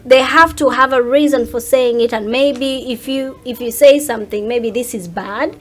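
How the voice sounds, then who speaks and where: Woman, Ugandan accent, high voice, casual interview chat, animated storyteller. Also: normal speed. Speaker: interview guest, social media content creator.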